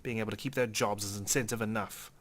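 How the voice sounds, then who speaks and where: Low-voiced man reading a game story aloud. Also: steady tone, fast talker. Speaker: let's player narrating a visual novel. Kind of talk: reading game text aloud with brief commentary.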